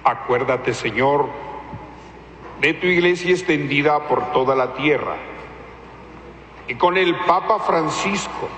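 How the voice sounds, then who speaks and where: A man reads the intercessions of the Mass in a steady, reverent voice at a middling pitch. The sound is roomy, with a long church reverb and a faint hiss behind it. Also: takes it slowly, pauses often. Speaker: concelebrating priest. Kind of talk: liturgical prayer at Mass. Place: large church.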